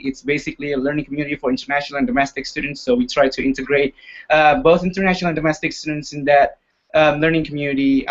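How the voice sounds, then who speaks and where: Man from Indonesia, English not his first language, fairly high voice, friendly fillers, dull lo-fi audio. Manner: friendly, self-deprecating, many fillers. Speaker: student panelist. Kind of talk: casual introduction on a live web chat.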